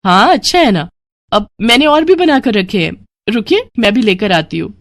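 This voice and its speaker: A woman's voice: mid-range voice, expressive, moderate pace, slight background noise, close to an everyday voice. A woman playing a cheerful, sweet and eager young woman in an audio story.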